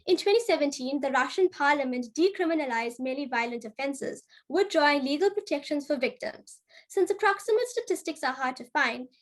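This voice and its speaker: High-voiced young woman reciting carefully. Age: young adult. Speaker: youth ambassador presenter. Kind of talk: reciting a poem and reading a presentation. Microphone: video call.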